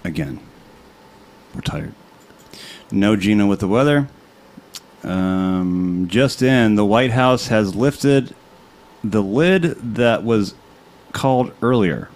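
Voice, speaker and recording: A man co-hosting a comedy podcast, crude and sarcastic, swinging from tired mumbling to loud rants. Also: lowish voice, slow pace, long pauses. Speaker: podcast co-host. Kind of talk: casual comedic chat.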